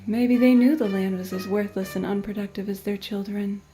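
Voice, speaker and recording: A woman's voice: medium pitch, steady tone, normal speed, over music, close to an everyday voice. A woman playing a meek but wry teenage servant girl in a visual novel, dry and self-effacing.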